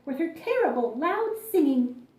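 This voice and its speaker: A woman's voice: sits high, sing-song, normal speed, mellow, roomy sound, pitched above the performer's own voice, low-quality recording. A woman voicing a hardworking, grumpy female ant in a children's story, huffy and indignant.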